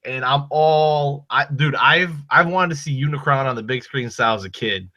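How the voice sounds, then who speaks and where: A man with a Boston accent hosting a podcast, giving loud, profane, emphatic opinions in casual chat. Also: mid-range voice, moderate pace, dull audio. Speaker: podcast host. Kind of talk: casual chat about movies and toys.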